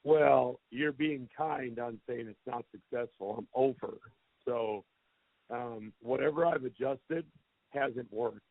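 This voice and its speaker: Plainspoken coach on a tinny phone line. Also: male voice, mid-range voice, slow, vocal fry, no room sound. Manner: plainspoken, candid, self-deprecating. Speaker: head football coach. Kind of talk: answering questions on a press conference call. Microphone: telephone.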